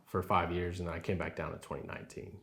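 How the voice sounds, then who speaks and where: A deep-voiced man, talking easily in an interview. He is affable and polite, fills his answers with "you know" and "yes sir," and keeps a relaxed conversational flow. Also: fast talker, creaky. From Rio Grande Valley, Texas. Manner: affable, many fillers, says yes sir often. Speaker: title company president.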